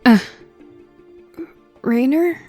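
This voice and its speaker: A woman's voice: high-pitched, very slow, a little mellow, faint music behind. A woman playing an earnest, plucky young army cadet in an audio drama. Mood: dazed, tender.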